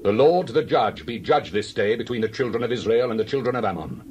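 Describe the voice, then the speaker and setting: An actor playing a stern, righteous Hebrew leader, declaiming deliberately in a dramatized Bible reading. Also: male voice, mid-range voice, a little mellow, dull audio, a little hiss or hum, close to an everyday voice. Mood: indignant, challenging.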